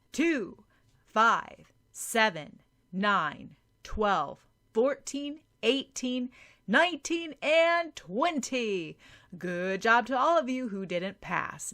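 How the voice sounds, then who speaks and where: A woman's voice: medium pitch, pushed and bright, exaggerated voice. A woman voicing an upbeat male contest announcer in an audiobook, emphatic and drawing out the words. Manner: emphatic, drawn-out announcer delivery.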